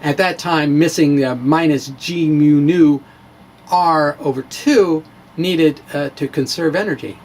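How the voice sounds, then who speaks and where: A man's voice: a little high, a little slow, darkish tone, slight background noise. A man giving a dramatic reading of his own blog with casual asides, wry and playful with theatrical emphasis.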